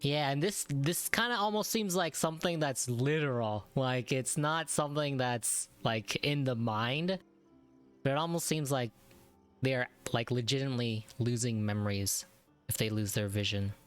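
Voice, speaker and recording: A man's voice: medium pitch, normal speed, clean tone, faint music behind. Casual man reacting to a video game. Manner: slangy, amused. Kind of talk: casual gameplay reaction commentary.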